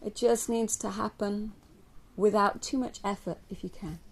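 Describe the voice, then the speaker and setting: A woman from England leading a guided yoga nidra meditation for a group, speaking gently and slowly with long pauses. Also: medium pitch. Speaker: yoga nidra teacher guiding a relaxation. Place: live group session at a camp. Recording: steady ambient noise, live recording.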